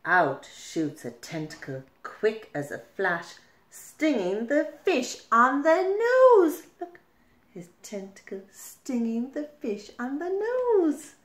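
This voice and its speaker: Very slow, animated woman storyteller. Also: high voice. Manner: expressive, deliberate, emphatic. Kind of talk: animated children's picture-book reading. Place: small furnished room at home. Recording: roomy indoor echo.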